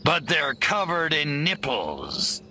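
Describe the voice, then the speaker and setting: An actor playing a short-tempered alien invader in a video game, gravelly, contemptuous and deadpan. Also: male voice, on the high side, takes it slowly, heavily acted. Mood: disgusted sarcasm. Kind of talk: video game cutscene acting. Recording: loud music behind the voice.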